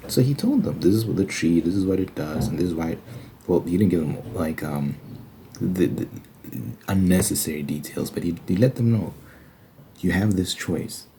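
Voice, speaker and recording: A low-voiced man with a mellow, dark tone delivers an informal ASMR monologue. He explains things haltingly and conversationally, often posing questions to himself. Faint noise sits behind his voice. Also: expressive. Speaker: ASMR video creator. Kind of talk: casual ASMR religious monologue.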